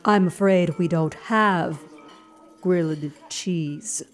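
A woman's voice: on the low side, slow pace, soft background music. A woman voicing an eerie, formal diner hostess in an audio drama, speaking stiffly and in clipped phrases. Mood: eerily formal.

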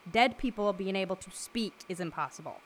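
An actress playing an exasperated, literal-minded skeptic and researcher in an audio drama, speaking in a precise, clipped, deadpan way. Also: female voice, mid-range voice, fairly quick, slight background noise, close to an everyday voice. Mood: exasperated, brisk. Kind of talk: naturalistic audio drama acting.

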